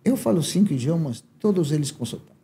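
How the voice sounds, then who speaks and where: A man's voice: fairly high pitch, lively intonation, fast, mellow. A man from Uruguay with a Uruguayan accent and a southern Brazilian lilt, telling his story as a guest in a casual conversation, with many tag questions. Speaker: guest, entrepreneur.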